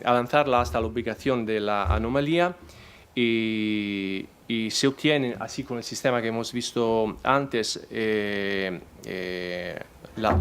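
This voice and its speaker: A man whose first language is not Spanish, presenting a technical talk at a conference in a halting way, full of fillers and restarts. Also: fairly low pitch, clear, resonant tone.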